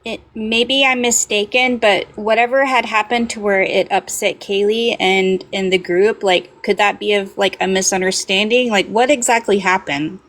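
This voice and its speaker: A woman's voice: mid-range voice, steady tone, normal speed, hardly any pauses, a bit bright. Earnest, hesitant woman. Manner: earnest, hesitant, repeats words. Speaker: livestream guest. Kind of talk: casual livestream talk.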